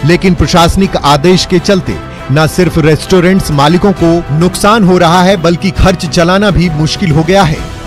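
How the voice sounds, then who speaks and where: Higher-voiced man narrating news over soft music. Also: normal speed. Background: faint music bed.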